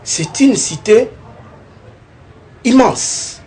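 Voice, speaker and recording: A man's voice: very high-pitched, lively intonation, very slow, dark tone, a little hiss or hum. A young man from central Congo, a candidate in a TV interview, talking earnestly and at length and often adding a tag question. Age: young adult. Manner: earnest and talkative, often adds "n'est-ce pas". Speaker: political candidate, interview guest.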